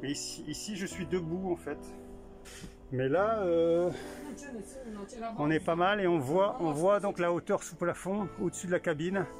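A man talks his way through a small camper van at a trade show, filming on a handheld camera and thinking aloud with plenty of fillers. His voice sits a little high. Music plays clearly behind him. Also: normal speed. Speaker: vlogger touring a camper van. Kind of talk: casual walkthrough commentary.

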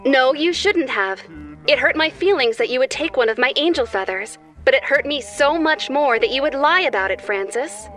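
A woman voicing a gentle, moralizing angel hare who talks down sweetly to children in a retro educational cartoon. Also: high-pitched, expressive, moderate pace. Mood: hurt, reproachful. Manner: gently instructive, talking down to children. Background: music.

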